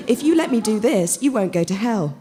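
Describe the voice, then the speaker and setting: A woman's voice: fairly high pitch, big pitch swings, brisk, dark tone, voice pushed up. A woman voicing a bossy, zealous thirteen-year-old girl in a storybook reading, with a commanding, solemn manner. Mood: stern, urgent.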